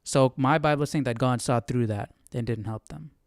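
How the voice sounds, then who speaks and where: A man's voice: medium pitch, moderate pace, no room sound, clean studio sound. A man hosting an informal Bible study, thinking aloud in a hesitant, reflective way. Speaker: live Bible study host.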